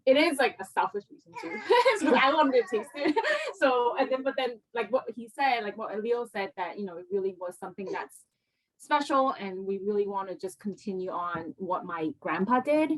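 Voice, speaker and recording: A woman who grew up in Hong Kong, Vancouver and Los Angeles, a restaurant co-owner, answering interview questions in a chatty, halting way. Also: fairly high pitch, expressive, poor audio.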